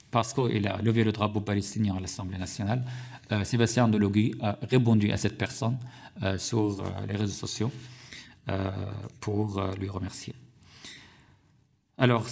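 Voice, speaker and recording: Man, low gravelly voice, halting committee testimony. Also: a little mellow. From Syria. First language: Arabic. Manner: hesitant, many fillers and restarts. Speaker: witness at a parliamentary hearing. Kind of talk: formal testimony. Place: parliamentary committee room.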